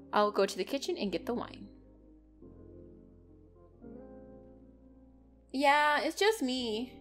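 A woman voicing a flirtatious, teasing female vampire in an intimate ASMR roleplay, playful and giggly with a hungry undertone. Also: mid-range voice. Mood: cheerful, welcoming. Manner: playful, giggly, slow and coy, dropping into breathy whispers. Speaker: roleplay voice performer. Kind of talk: ASMR roleplay, intimate one-sided dialogue.

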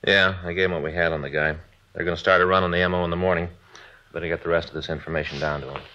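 A low-pitched American police detective played by a male actor in a vintage radio crime drama. He is practical and courteous, firing off short lines very quickly. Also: fairly even intonation, lightly acted. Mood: brisk. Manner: clipped, low-key, businesslike. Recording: dull, noisy vintage radio recording.

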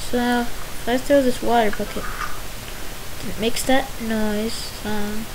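Young woman, game tour host, unhurried rambling talk, steady hiss behind. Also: a little high. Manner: rambling, giggly, self-interrupting. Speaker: Minecraft video host. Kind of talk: casual gameplay tour commentary. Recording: hissy home recording.

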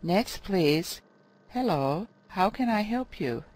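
A man's voice: high voice, animated, takes it slowly, darkish tone, full character voice, rough recording. A man playing a polite airline ticket agent in a scripted lesson dialogue.

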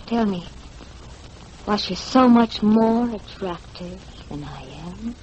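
An actress playing a worldly, amoral married femme fatale in a 1940s radio drama, with formal phrasing. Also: female voice, medium pitch, animated, slow, lo-fi sound. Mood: seductive. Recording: 1940s radio recording, faint noise.

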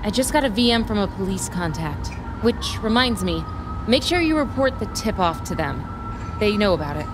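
An actress playing a tough, wry investigator in a noir audio drama, with a sardonic, casual way of talking. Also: female voice, mid-range voice, lively intonation, normal speed, background noise, natural voice. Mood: brisk, wry.

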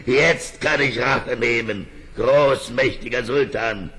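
An actor playing a vengeful, scheming magician in a fairy-tale audio play, gloating and menacing. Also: male voice, mid-range voice, extremely slow, bright, forceful tone. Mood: scheming malice. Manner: gloating, menacing, cackling delivery.

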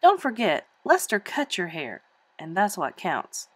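A woman reading a storybook and voicing a middle-aged mother who speaks softly to calm her daughter. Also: lowish voice, a little slow, breathy edge, dry recording, heavily acted. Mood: soothing. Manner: soft and soothing.

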